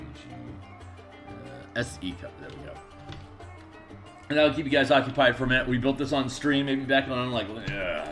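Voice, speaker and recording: Raspy tired man streaming his work. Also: fairly low pitch, fairly bright tone. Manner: rambling, many fillers, mutters to himself while working. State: tired, just woke up. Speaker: streamer making game art. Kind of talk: casual live-stream chat while working.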